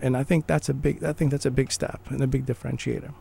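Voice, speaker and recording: Gravelly man in earnest conversation. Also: medium pitch, flat delivery, quick pace, dark tone. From Morocco. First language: Arabic. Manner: earnest, restarts phrases while thinking. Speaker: guest. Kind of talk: conversational interview.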